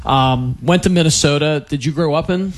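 A man's voice: on the high side, normal speed, background noise. A man hosting a live sports radio interview in a chatty, effusive, casual way.

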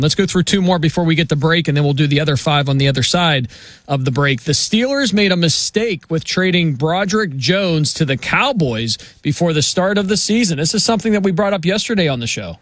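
A man's voice: a little high, expressive, a little fast, a bit bright, dry recording. A man hosting a sports radio show, talking in an upbeat, casual way and laughing easily. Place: radio studio.

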